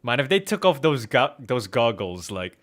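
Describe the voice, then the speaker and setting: A man's voice: mid-range voice, very animated, moderate pace, a bit bright. A man giving casual, off-the-cuff commentary as a game streamer. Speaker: video game streamer and amateur voice actor. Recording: music behind the voice.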